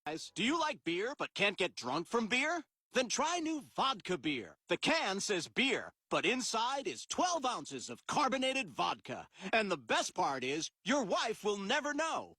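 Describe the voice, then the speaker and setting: A man's voice: sits high, very animated, unhurried, bright, forceful tone, breathy edge, no room sound, studio quality, exaggerated voice. A man voicing a pushy, upbeat, conspiratorial announcer in a parody beer commercial. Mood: upbeat selling. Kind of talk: parody advertising pitch.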